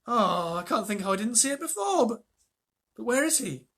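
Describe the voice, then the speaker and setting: A man reading aloud and voicing an eager, excited young boy who talks raised and quick. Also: very high-pitched, vocal fry, husky, pitched above the performer's own voice, exaggerated voice.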